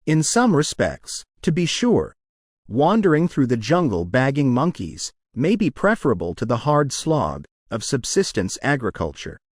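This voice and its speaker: A man reading short academic passages aloud as scripted narration, with measured, deliberate enunciation. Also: mid-range voice, huge pitch swings, no room sound, clean studio sound. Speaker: narrator of English test practice passages.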